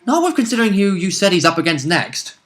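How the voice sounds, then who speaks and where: Quick-talking man chatting about a tabletop game. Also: high-pitched, big pitch swings, a bit bright. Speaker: co-host and player reacting to the recap.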